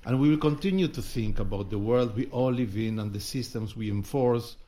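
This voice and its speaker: A man's voice: mid-range voice, a little fast, warm tone. A man co-hosting a podcast, presenting in a semi-scripted style. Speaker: podcast co-host and curator. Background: music with instruments.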